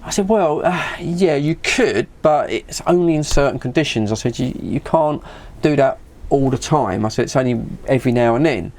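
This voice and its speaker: A middle-aged British man talks in a casual, chatty way, like a hobbyist vlogger. His pitch moves about in a lively, animated fashion. He recounts conversations by acting out each side, often repeating words along the way. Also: normal speed. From United Kingdom. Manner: acts out remembered conversations, repeating words as he goes. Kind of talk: casual chat with anecdotes.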